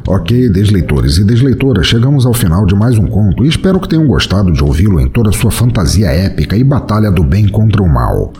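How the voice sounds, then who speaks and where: A man narrating a scripted fantasy story as podcast host, with a dramatic, expressive delivery. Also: on the low side, a little fast, few pauses, faint music behind.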